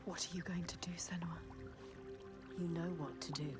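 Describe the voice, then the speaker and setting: A woman acting as an eerie, needling voice in a warrior's head for a video game, in breathy, urgent fragments. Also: on the low side, fairly even intonation, normal speed, over loud music, natural voice. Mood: hushed, accusing. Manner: breathy whispering in short fragments. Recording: loud score over the voice.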